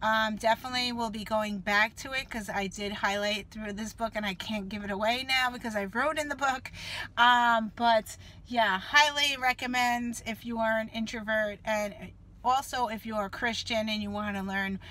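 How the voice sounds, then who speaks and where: A woman reviewing books in a casual vlog, slightly nasal from a cold, with many fillers and an easygoing, rambling delivery. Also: fairly high pitch. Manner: relaxed, filler-heavy, unhurried. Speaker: book reviewer.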